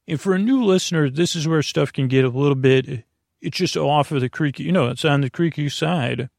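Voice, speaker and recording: Gravelly man rambling easygoing. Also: a little high, a little fast, darkish tone, no room sound, studio quality. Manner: meandering, stumbles over words; easygoing, companionable. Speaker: sleep podcast host. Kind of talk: casual rambling monologue.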